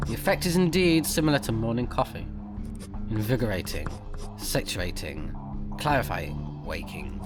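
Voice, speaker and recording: A man doing casual let's play commentary, blunt, sweary and expressive, sometimes reading game text aloud. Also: medium pitch. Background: game music.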